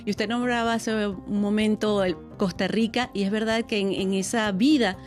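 A woman radio interviewer who gently prompts and encourages her guest with questions. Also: medium pitch, steady tone, normal speed, soft background music. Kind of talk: broadcast interview.

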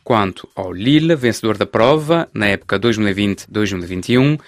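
A man working as a radio sports reporter, reading the news and putting questions to players in a measured, formal way. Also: lowish voice, no room sound. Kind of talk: scripted news reading and interviewing.

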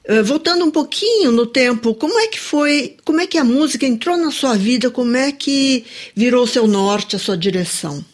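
A woman's voice: sits high, animated, normal speed, hardly any pauses. A woman with a Brazilian accent conducting a radio interview in a studio, moving between prepared introductions and questions.

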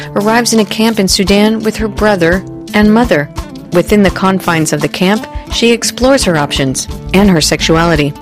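A woman hosting a literature podcast, presenting and asking questions in a clear, measured way. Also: on the low side. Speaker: podcast host. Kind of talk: radio podcast interview.

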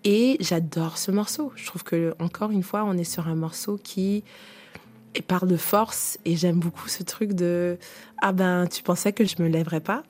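A woman's voice: fairly low pitch, steady tone, normal speed, a little mellow. A woman singer guest chatting on a radio show, enthusiastic and tumbling over her words. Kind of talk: casual radio interview.

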